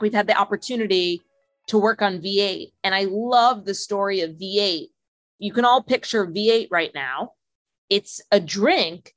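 A woman's voice: medium pitch, fairly bright tone, no room sound. A woman co-hosting a business podcast, setting up a story with enthusiasm. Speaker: podcast co-host. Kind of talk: podcast hosting, scripted intro.

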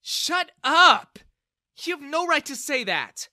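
A man's voice: very high voice, sing-song, slow pace, bright, forceful tone, no echo, studio quality, voice pushed up, full character voice. A man voicing a spirited, protective young woman who speaks with earnest indignation in a storybook narration. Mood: angry.

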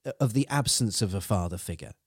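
A man's voice: fairly low pitch, expressive, quick pace, breathy edge, no room sound, studio quality. A man from the United Kingdom hosting a podcast interview, eager and rambling with many restarts. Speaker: podcast host.

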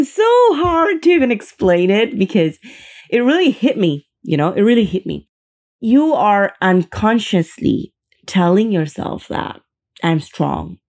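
A woman's voice: mid-range voice, darkish tone. A young woman hosting her own podcast, talking earnestly and chattily, often repeating words for emphasis. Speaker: podcast host. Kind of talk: casual solo podcast talk.

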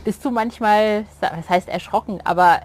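A woman's voice: mid-range voice, normal speed. A woman co-hosting an interview in a casual, teasing, informal way. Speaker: co-host, interviewer. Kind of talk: casual chat.

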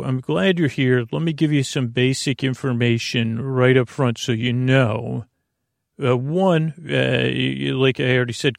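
A man with a creaky voice hosting a sleep podcast, lulling and soothing as he meanders haltingly through a rambling bedtime monologue. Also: mid-range voice, no room sound, clean studio sound. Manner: meandering, halting, repeats and drifts off topic. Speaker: podcast host.

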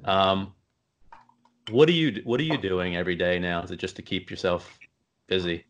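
A man's voice: low voice, normal speed. A man hosting a casual interview in a conversational style. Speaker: interview host.

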